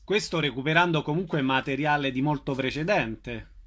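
A man's voice: fairly high pitch, moderate pace, a bit bright. A man hosting an arts interview on the radio, asking questions and announcing events conversationally.

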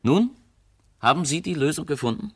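A man's voice: a little high, expressive, normal speed, a little mellow, slightly rough, soft background music. A man presenting a scripted radio mystery as narrator, formal and courteous, addressing listeners and building suspense.

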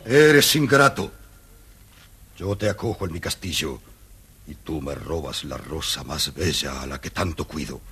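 An actor playing a monstrous, lonely beast who rules a castle, speaking slowly and gravely in an old children's audio story. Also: male voice, animated, background noise. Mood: menacing. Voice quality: very deep and husky. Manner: slow, grave, commanding delivery.

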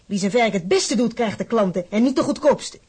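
An actress playing a feisty, proud working-class wife in a radio drama, fiercely loyal, blunt and sardonic. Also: female voice, on the high side, expressive, a little fast, darkish tone, breathy edge. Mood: firm, earnest.

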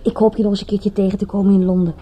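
A woman's voice: medium pitch, flat delivery, very fast, warm tone, husky, close to an everyday voice. An actress playing a flirtatious, mockingly sweet crook's companion in a radio play, teasing as she talks. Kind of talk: Dutch radio drama acting.

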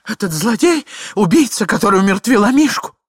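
A man voicing an excitable lady wife in a theatrical story reading, exclaiming shrilly. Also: very high-pitched, brisk, slightly rough, no echo, studio quality, pitched above the performer's own voice, exaggerated voice. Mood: tearful fury.

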